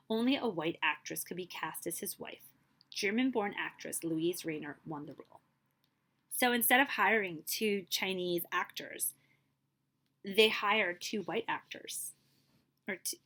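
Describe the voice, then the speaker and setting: Librarian lady reading a kids' picture book out loud, kinda low voice, steady pace, little side comments here and there. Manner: steady storybook reading with brief asides. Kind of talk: picture book read-aloud.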